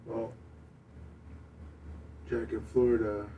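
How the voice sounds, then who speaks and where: A man's voice: on the low side, flat delivery, slow, narrow, tinny audio. A man hosting a radio show in casual live chat. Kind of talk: casual live chat, reading out listener names. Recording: noisy room, distant from mic.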